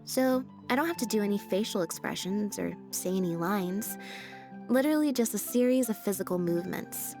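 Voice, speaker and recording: A woman voicing a laid-back, deadpan young stage magician's assistant in a video game, speaking in an unhurried, matter-of-fact way. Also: medium pitch, lightly acted. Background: game background music.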